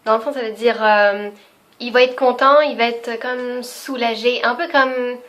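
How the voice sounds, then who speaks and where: Chatty young woman, high voice. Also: steady tone, a little slow, fairly bright tone. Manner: chatty and spontaneous, laughs often, many fillers. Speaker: vlogger. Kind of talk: casual unscripted video chat.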